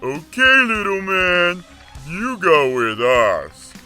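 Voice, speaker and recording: A man's voice: high voice, sing-song, slow pace, bright, forceful tone, soft background music, exaggerated voice. A man playing a huge, dim, childlike orc henchman in an audio drama, speaking simple broken grammar. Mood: gleeful menace.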